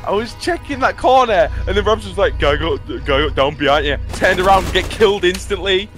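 Brief: young Yorkshire lad, animated pitch, loud banter. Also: male voice, brisk, over music. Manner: loud, swearing, bursts of laughter. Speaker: gamer. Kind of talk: casual gaming chat.

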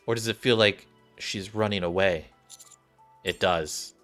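A man's voice: sits low, a little slow, a bit bright. A young adult man, a game streamer, reading visual novel dialogue aloud. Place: small indoor room. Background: game music.

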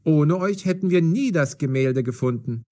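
A man's voice: on the high side, lively intonation, moderate pace, mellow, natural voice. Man playing a commanding, mocking police detective. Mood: matter-of-fact. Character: gruff, mocking police detective, stern but fair. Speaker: voice actor. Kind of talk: audio drama for language learners.

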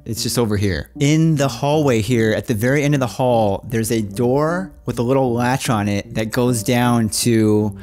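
Vlogger, male, 30s, mid-pitched, chatty and informal, soft music under, small apartment room. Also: moderate pace, hardly any pauses. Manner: chatty, addresses viewers as "my friends". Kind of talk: casual weekly vlog chat. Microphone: handheld shotgun mic on boom cable.

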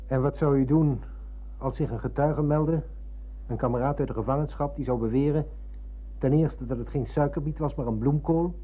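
Husky, courteous but needling middle-aged man acted out. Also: medium pitch, normal speed, lightly acted. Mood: coolly accusing. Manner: formally courteous, insistent. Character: polite, probing, needling middle-aged male visitor. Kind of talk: radio drama acting. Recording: muffled, narrow-band old radio recording.

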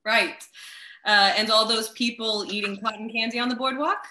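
A brash, friendly new mother in a stage comedy, with a forceful, bright and fairly high voice. She is blunt, effusive and joking, heard in an echoey room over a video call. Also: steady tone, normal speed. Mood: chatty, blunt. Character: brash, foul-mouthed, friendly working-class new mother. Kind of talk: comedic play reading, naturalistic.

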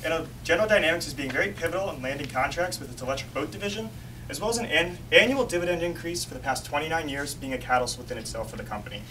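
A man's voice: fairly high pitch, expressive, racing, sharp tone. A young man giving a rehearsed finance presentation, stressing the start of each point. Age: young adult. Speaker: student presenter. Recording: distant voice with room noise.

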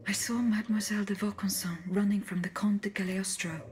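A woman voicing a courteous female automaton bodyguard in a video game, speaking in a measured, formal and restrained way. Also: mid-range voice, steady tone, a little mellow, a little hiss or hum, natural voice. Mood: composed, formal. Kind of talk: video game cutscene acting.

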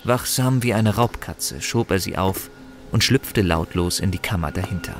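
A man's voice: sits low, normal speed. A man narrating a scripted fantasy audio drama in a theatrical, suspenseful storytelling manner. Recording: voice under loud music. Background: loud dramatic music.

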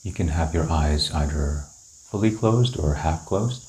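A man leading a guided meditation, giving calm, gentle, unhurried instructions with long pauses. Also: very deep voice, slight background noise. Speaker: meditation teacher. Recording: roomy, echoing indoor sound.

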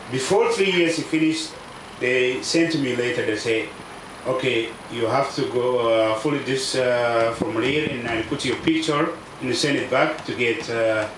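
A man in his thirties from South Sudan, speaking halting non-native English with many fillers as he gives a personal account outdoors under a tent. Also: medium pitch. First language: a South Sudanese language. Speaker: refugee speaker. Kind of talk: personal testimony at a public event. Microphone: table microphone on stand. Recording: echoey, distant outdoor recording with noise.

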